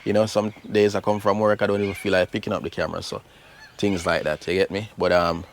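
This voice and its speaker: A man's voice: sits low, flat delivery, fast talker, a little hiss or hum. A man from Jamaica with a Jamaican accent, chatting casually in his backyard garden as a channel host, laid-back and full of fillers. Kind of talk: casual garden vlog chat.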